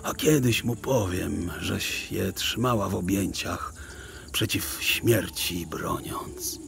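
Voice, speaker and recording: A gentle, pious old traveler from an audio drama, speaks in a hushed, gravelly voice. He is solemn and humble, taking his words slowly while music plays beneath. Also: sits low, exaggerated voice. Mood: sorrowful, tender. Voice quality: hushed, half-whispered, creaky. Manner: gentle, solemn, slow speech.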